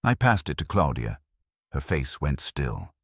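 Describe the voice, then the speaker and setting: Man, extremely deep voice, swooping intonation, theatrical noir narrator, scripted mystery story. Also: sing-song, measured pace, breathy edge, no room sound, clean studio sound. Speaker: audiobook narrator.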